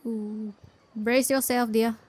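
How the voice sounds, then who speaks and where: A woman's voice: a little high, fairly even intonation, slow pace, darkish tone, breathy edge. A woman giving casual playthrough commentary as she reacts to a game's story. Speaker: gaming streamer reacting to a game.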